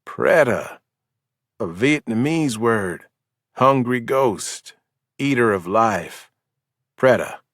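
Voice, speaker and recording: A man voicing a heavily drugged male war veteran, speaking in a slow, gruff drawl with a Southern US accent. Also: mid-range voice, expressive, a bit bright, no room sound, studio quality. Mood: slow, haunted.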